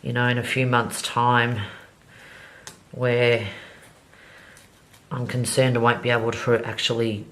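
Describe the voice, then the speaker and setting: Slow, slightly nasal woman. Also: a bass voice, little pitch movement, fairly bright tone. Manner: unhurried, chatty, many ums. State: slightly nasal, coming down with a cold. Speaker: planner vlogger. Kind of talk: casual plan-with-me narration while decorating.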